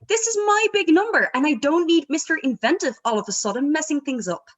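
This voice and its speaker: A woman's voice: high voice, lively intonation, a little fast. A woman playing a bossy, short-tempered singer who leads a family band, scolding imperiously in a script read-through. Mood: irritated, scolding.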